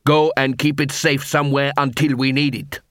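A man's voice: mid-range voice, little pitch movement, normal speed, fairly bright tone, no echo, studio quality, close to an everyday voice. A man doing a curt, sarcastic dwarf. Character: hostile, mocking male dwarf leader.

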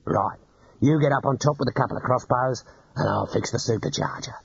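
A man voicing a gruff, laconic outback trucker who drawls low and grumbling. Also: brisk, no echo, muffled recording.

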